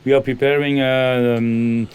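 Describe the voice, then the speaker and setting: A man from Belgium, a football coach, answering interview questions in halting full of ums and loose grammar. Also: mid-range voice, flat delivery, a little mellow, clear, resonant tone.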